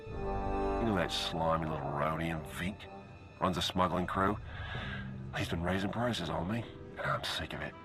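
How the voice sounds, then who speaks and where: A man playing a sardonic, shady underworld boss in a video game, with wry, dry-humoured menace. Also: rumbling low. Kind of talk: video game cutscene acting. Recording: music behind the voice.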